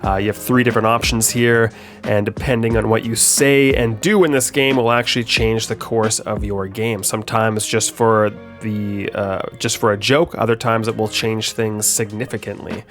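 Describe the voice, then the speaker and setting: A man's voice: on the low side, fairly even intonation, moderate pace, fairly bright tone, soft background music. A young man with a stuffed-up voice from a head cold, casually explaining the game he made as he plays it, with frequent ums and uhs. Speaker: game developer. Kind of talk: gameplay commentary.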